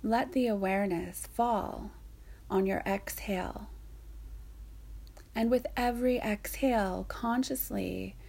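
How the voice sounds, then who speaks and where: Slow, breathy woman guiding meditation. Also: mid-range voice. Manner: soft, soothing, breathy delivery with long pauses. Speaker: yoga nidra teacher guiding a practice. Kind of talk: guided meditation narration.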